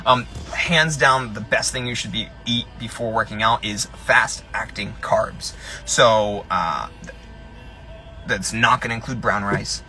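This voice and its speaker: A man's voice: on the low side, a little slow, sharp tone, soft background music. A young man from Tennessee with no accent left, a personal trainer chatting casually on a live stream from his parked car, rambling with lots of ums and restarts. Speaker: fitness influencer, personal trainer.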